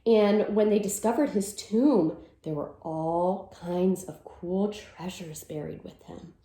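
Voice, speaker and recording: A woman's voice: fairly low pitch, takes it slowly, mellow, roomy sound, slight background noise. A woman teacher reading a picture book aloud to children, with sing-song verses and simple explanations.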